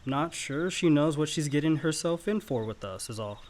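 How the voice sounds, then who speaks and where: A man's voice: fairly high pitch, expressive, moderate pace, a little mellow, close to an everyday voice. A male actor playing a teasing, easygoing grown son and loyal brother in a naturalistic radio play. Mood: reassuring. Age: young adult. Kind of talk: naturalistic radio drama dialogue.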